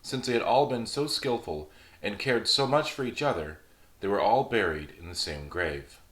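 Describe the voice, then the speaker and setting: Low-voiced man reading a fairy tale, echoey. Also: normal speed. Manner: relaxed, chatty, reads aloud with small slips and laughs. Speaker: storyteller host. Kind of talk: casual storytelling reading.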